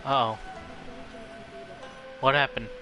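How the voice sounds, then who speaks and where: A man's voice: medium pitch, steady tone, slow pace. A man giving casual, easygoing asides as he streams a game. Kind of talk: casual live gameplay commentary. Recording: game music behind the voice.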